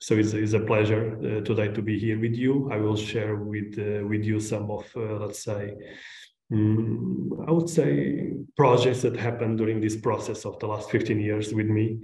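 A man in his forties with a Portuguese accent, giving an informal online lecture in a halting, hesitant way. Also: on the low side, steady tone, vocal fry, warm tone. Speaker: guest lecturer, architect. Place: indoors on a video call, roomy sound. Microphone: video call at desk distance. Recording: roomy, echoing video-call sound.

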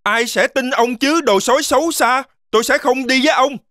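A woman's voice: sits high, normal speed, fairly bright tone, dry recording, studio quality. A woman voicing a timid, distrustful little boy goat in a children's cartoon, anxious and indignant.

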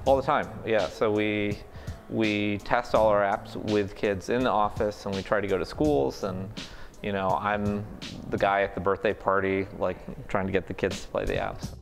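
Middle-aged man, low voice, music underneath. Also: steady tone, normal speed, gravelly. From born in Mexico, raised in East Texas. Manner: rambling, many fillers and restarts. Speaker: startup founder and CEO, interview guest. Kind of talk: casual interview. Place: small café by a street window.

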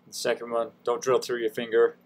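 A man's voice: medium pitch, monotone, fairly quick. A man giving a casual, folksy how-to voice-over in an informal, self-deprecating way. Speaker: DIY tutorial host.

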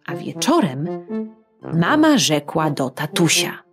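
Storyteller, woman, slow, lively pitch, bedtime tale read over soft music. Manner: expressive, slow, playful. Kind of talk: children's audiobook narration.

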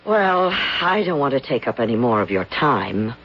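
An actress playing a thirty-eight-year-old out-of-work actress, emotional and pleading, in an old radio drama. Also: female voice, a bass voice, big pitch swings, normal speed, husky, full character voice. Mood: wistful. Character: out-of-work actress, woman, 38, proud and dreamy. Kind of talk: 1970s radio drama acting. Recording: dull lo-fi old radio broadcast.

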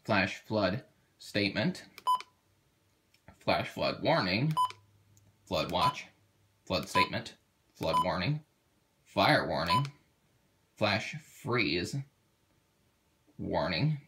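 A man giving a casual, halting how-to walkthrough, thinking aloud as he demonstrates a product. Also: sits low, big pitch swings. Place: indoors at a table. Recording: very noisy home video, clicks and beeps.

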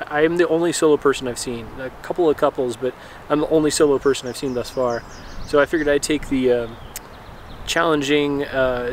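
A man in his thirties with an American accent, chatting casually and rambling to camera as an outdoor vlogger. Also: a little high. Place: outdoors in mountain wilderness. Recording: outdoor ambient noise, wind.

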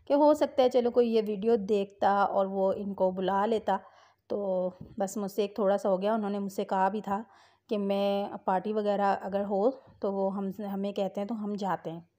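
A woman hosting her own vlog, talking in a chatty, unhurried way with small fillers. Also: medium pitch, fairly even intonation, warm tone. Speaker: vlog host. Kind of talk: casual vlog voice-over.

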